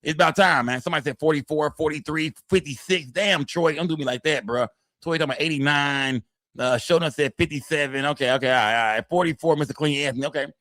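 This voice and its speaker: A man's voice: on the high side, quick pace, a bit bright, no echo, studio quality. A man in his forties hosting a live stream in loose, profane casual chat. He reads the chat aloud and repeats his phrases, tagging them with "man" and "fam.". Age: 40s.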